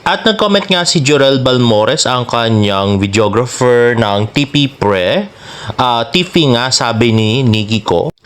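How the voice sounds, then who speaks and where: Animated Filipino man, chatty gossip vlogger. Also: medium pitch, a little slow, smooth. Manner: chatty, teasing, jokey. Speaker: celebrity fan vlogger and host. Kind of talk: casual showbiz gossip commentary in Taglish.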